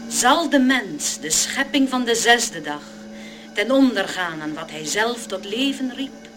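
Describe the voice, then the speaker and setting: Slow, portentous prophetic narrator. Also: female voice, a little high, expressive. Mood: solemn, foreboding. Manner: declamatory, portentous. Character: solemn prophetic narrator warning mankind, grave and portentous. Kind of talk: solemn radio play prologue in biblical language.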